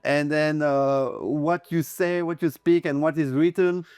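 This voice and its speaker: Clear-voiced man with a Swiss French accent. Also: on the high side, fairly even intonation, measured pace, darkish tone, no room sound, studio quality. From French-speaking Switzerland. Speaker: interview guest, real estate agent. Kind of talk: casual conversational interview.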